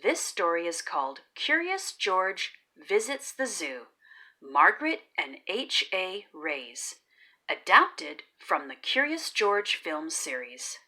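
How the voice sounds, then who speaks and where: A woman hosting a children's read-aloud, narrating the story expressively and deliberately. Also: fairly low pitch. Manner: deliberate, animated storytelling delivery.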